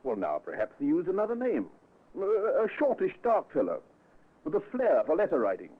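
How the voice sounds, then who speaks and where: An actor playing a measured, methodical police inspector in an old radio drama, dry and official with careful pauses. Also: male voice, high voice, huge pitch swings, full character voice. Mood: businesslike, cautious. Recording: muffled, lo-fi old radio recording.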